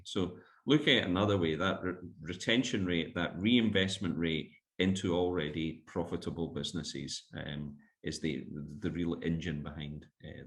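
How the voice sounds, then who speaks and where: A man's voice: low voice, a bit bright. A man explaining investment concepts to investors in a webinar, with fillers and restarts as he goes. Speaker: fund manager presenting a webinar.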